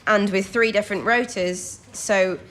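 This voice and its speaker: A woman's voice: mid-range voice, fairly even intonation, measured pace, a bit bright, slight background noise, close to an everyday voice. A young woman acting in an audio drama as an anxious, eager nineteen-year-old codebreaking recruit, earnest and deferential. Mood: eager, focused.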